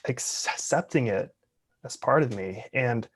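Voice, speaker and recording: A man's voice: medium pitch, slow, husky. A man in his twenties, an interview guest, who talks openly and earnestly while stuttering with repeated sounds and blocks. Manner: open, earnest. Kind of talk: casual interview talk.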